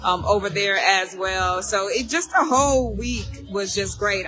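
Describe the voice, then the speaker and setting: A hoarse woman, hosting a casual live chat for alumni, talking fast and chatty and repeating herself. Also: mid-range voice, bright, forceful tone. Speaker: alumni association host.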